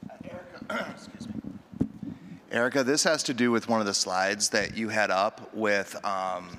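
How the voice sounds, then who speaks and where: A man's voice: on the low side, moderate pace, bright, forceful tone. A man in a conference audience putting an unscripted question to the speakers. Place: conference hall. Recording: reverberant hall with background noise.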